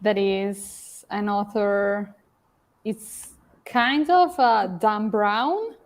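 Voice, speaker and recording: Italian-accented female, unhurried pace, mid-pitched, casual conversation. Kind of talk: casual chat.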